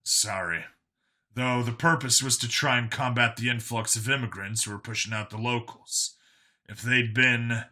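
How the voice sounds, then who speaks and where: A man's voice: medium pitch, fairly even intonation, moderate pace, pushed and bright. A man voicing a world-weary clone cop in an audio story, burdened by guilt and explaining things matter-of-factly. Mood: sheepish, earnest.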